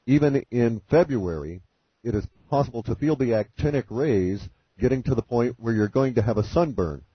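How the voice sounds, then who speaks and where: A man giving formal, deliberate, technical explanations as an interview guest on a conference call. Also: breathy edge, no echo. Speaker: interview guest presenting his forecasts. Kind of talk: measured conference-call exposition. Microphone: conference call line. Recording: muffled, low-fidelity conference call.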